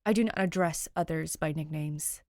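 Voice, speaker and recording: A woman's voice: sits low, moderate pace, a little mellow, no echo, studio quality. A woman voicing a formal, condescending teenage boy with clipped speech in an audio story. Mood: haughty.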